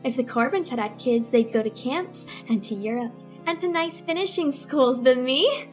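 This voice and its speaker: A woman's voice: high-pitched, animated, normal speed. A woman playing a bitter, scheming teenage girl in a melodramatic old-time radio suspense play, with music under her. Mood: bitter, resentful. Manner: melodramatic, resentful. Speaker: narrating lead in a radio melodrama.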